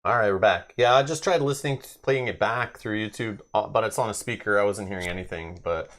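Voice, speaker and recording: A man's voice: lowish voice, a little fast, fairly bright tone. A man hosting a live board game stream in casual chat, explaining things at length in a conversational way.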